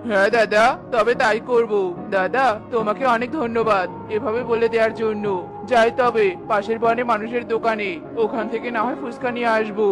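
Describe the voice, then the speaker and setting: A performer voicing a gentle, sentimental male bird in a cartoon story, with music behind. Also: medium pitch, flat delivery, fairly quick, lightly acted. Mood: polite, eager.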